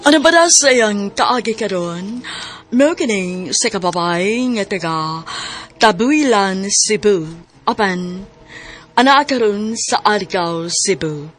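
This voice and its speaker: A woman's voice: mid-range voice, animated, takes it slowly, a bit bright. A woman doing dramatic scripted announcing for a radio drama. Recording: clear background noise behind announcer.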